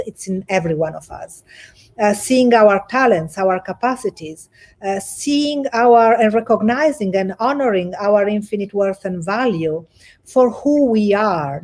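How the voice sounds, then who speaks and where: A woman with an Italian accent, a guest coach speaking over a video call in an earnest, halting way with many restarts. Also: medium pitch, a little mellow. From Italy. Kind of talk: livestream interview.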